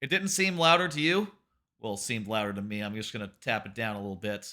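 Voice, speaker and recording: A man livestreaming a game, talking casually in a loud voice that rambles and corrects itself, sitting about an arm's length from his microphone. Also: lowish voice, fast. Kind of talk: casual live gameplay chat.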